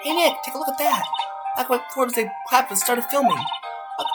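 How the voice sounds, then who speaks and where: Woman voicing a high, bubbly teenage girl. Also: fast talker, close to an everyday voice. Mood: excited, eager. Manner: perky, eager, teasing. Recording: loud music over the voice.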